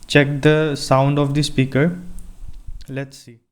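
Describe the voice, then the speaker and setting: A man's voice: fairly high pitch, level tone, slow pace, smooth. A man narrating an unboxing and product review in a plain, step-by-step explaining way, recorded on an earphone microphone.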